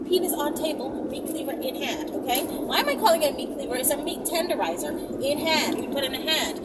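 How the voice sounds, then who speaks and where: A woman talking casually to her viewers in an emphatic, sassy, repetitive way, rambling as she hosts her vlog. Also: sits high. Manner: emphatic, sassy, repeats phrases and checks with "okay?". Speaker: vlog host. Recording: distant phone mic, loud vehicle noise.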